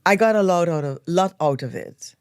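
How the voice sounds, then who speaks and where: An older woman with a Trinidadian accent, a guest telling stories of her upbringing in a relaxed, unhurried way. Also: lowish voice, clear, resonant tone, dry recording, studio quality. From Trinidad and Tobago. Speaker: guest, event planner. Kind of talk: relaxed storytelling interview. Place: radio studio.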